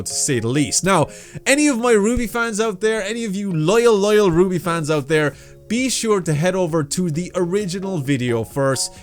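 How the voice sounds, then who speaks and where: Man, fairly high pitch, casual reaction commentary, informal address to viewers, light background music. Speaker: reaction video host.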